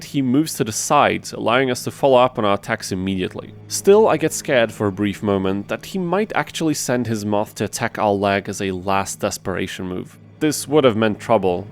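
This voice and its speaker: Guy w a lowish voice doing gameplay voiceover, wry n informal, soft music under it. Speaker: video game challenge host. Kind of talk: scripted gameplay narration, informal. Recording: faint music behind the voice.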